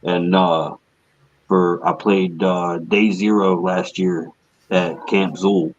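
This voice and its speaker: A raspy male musician answers interview questions in a laid-back way. His voice is very deep and husky. The sound is dry and a little dull. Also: flat delivery, slow. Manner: laid-back, says "you know what I mean" a lot. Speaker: musician guest.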